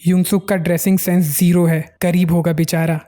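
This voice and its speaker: A jealous schoolboy sneers at a classmate and gossips about him, read plainly by a recap narrator. The voice is mellow and dark, close to the narrator's everyday voice. Only a faint mocking edge sets him apart. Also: medium pitch, little pitch movement, brisk, lightly acted. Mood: sneering.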